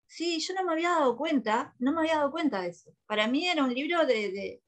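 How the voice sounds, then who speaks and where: A woman's voice: high voice, expressive, fast talker. A woman with a Uruguayan accent, a radio co-host and comedian, chatting casually as the guest in a radio interview.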